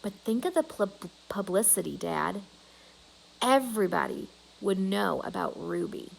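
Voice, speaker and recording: A woman's voice: medium pitch, very animated, a little slow, gravelly, exaggerated voice, rough recording. A woman voicing an eager, insistent young girl in a storybook, speaking emphatically and pleading her case.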